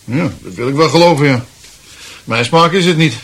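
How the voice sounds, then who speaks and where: An actor playing a cheeky, over-familiar freeloader, brash, drawling and bluntly opinionated, in a radio drama. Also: male voice, on the high side, moderate pace, a bit bright, husky. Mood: mocking, opinionated. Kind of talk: Dutch radio drama acting.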